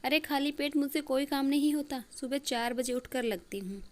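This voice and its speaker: A woman's voice: sits high, steady tone, moderate pace, voice pushed up, close to an everyday voice. A woman voicing a whiny, food-loving young daughter-in-law in a story cartoon, complaining indignantly and defiantly.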